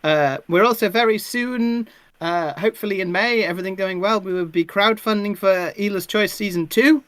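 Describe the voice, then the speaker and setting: A man chatting casually on a livestream as a guest, speaking a little haltingly. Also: sits high, fairly bright tone, clear, resonant tone, dry recording. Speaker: guest audio drama writer and actor.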